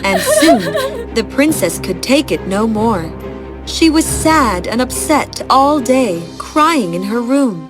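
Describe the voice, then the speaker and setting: A woman tells a scripted fairy tale in a fairly high voice. Her storytelling is very expressive, with lots of melodic movement, and soft music plays behind her. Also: slow.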